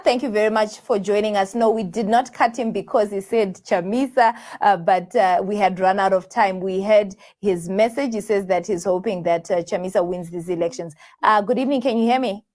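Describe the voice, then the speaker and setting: A woman's voice: medium pitch, moderate pace, darkish tone. A woman hosting a live call-in show, talking with many fillers and restarts as she takes viewers' calls. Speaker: host taking viewer calls.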